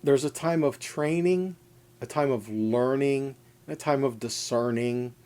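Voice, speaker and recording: A man's voice: mid-range voice, a little slow, dark tone. A man teaching an informal sermon in a conversational way, addressing listeners directly and stressing points with repeated, parallel phrases. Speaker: preacher teaching a scripture lesson. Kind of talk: informal sermon with scripture readings.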